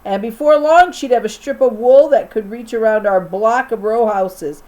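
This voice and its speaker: A woman teacher reading a children's novel aloud in an expressive storytelling style for a young class. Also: on the high side, normal speed, poor audio.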